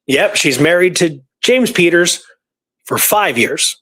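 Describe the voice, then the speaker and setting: A man's voice: fairly high pitch, lively intonation, slow pace, a bit bright, slightly rough. A man hosting a history talk, telling stories casually and going through dates and records in detail. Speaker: podcast host presenting historical research. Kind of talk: casual storytelling conversation.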